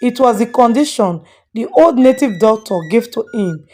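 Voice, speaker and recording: A woman narrates a scripted moral folktale. Her voice sits fairly high, with music playing quietly behind her. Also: animated, normal speed. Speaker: storyteller.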